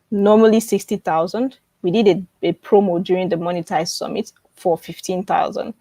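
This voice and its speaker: A woman's voice: on the low side, warm tone, no echo. Woman coaching on a livestream, dry sound. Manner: repeats phrases and checks in often. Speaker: online publishing coach hosting a live webinar. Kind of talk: informal live teaching and promotion, answering viewer questions.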